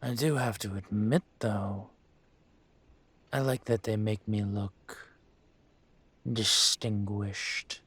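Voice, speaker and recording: Man voicing an aging dragon crime lord, very slow delivery, lowish pitch, suave, courtly, quietly menacing, roleplay audio drama. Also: steady tone, no echo, heavily acted. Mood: wry, self-satisfied. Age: older. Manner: smooth, deliberate, courtly with veiled menace.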